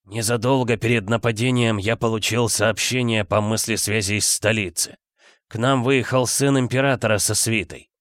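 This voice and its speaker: A male narrator acts a wounded healer in a fantasy story. He is earnest and weak, with quiet, laboured speech. The sound is close and dry. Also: on the low side, steady tone, moderate pace, no room sound, natural voice. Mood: weak, urgent.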